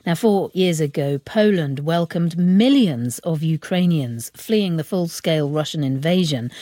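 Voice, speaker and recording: A woman's voice: on the low side, mellow, dry recording, clean studio sound. A woman news presenter who asks probing interview questions and reads scripted news links. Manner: inquisitive, then crisp scripted reading.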